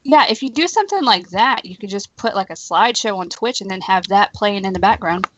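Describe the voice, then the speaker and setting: A woman's voice: mid-range voice, expressive, brisk, fairly bright tone, dry recording, dull audio. A woman co-hosting, asking curious short questions. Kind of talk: casual interview.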